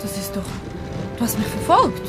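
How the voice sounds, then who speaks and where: A woman's voice: medium pitch, very animated, moderate pace, loud music behind the voice, close to an everyday voice. An actress playing an indignant, defiant young woman in a German radio drama, stubbornly standing her ground. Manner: indignant, stubbornly repeating accusations.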